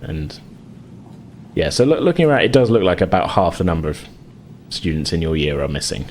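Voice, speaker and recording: Male game master, deep register, halting improvised narration, faint noise behind the voice. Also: very animated, a little mellow. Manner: hesitant, hedging delivery.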